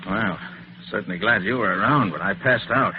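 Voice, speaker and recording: An actor playing a quick-witted, decisive male detective in an old radio drama. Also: fairly low pitch, close to an everyday voice. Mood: curious. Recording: muffled, noisy old radio recording.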